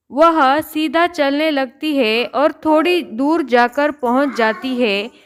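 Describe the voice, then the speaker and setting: A woman's voice: sits high, smooth. A woman narrating a scripted children's story.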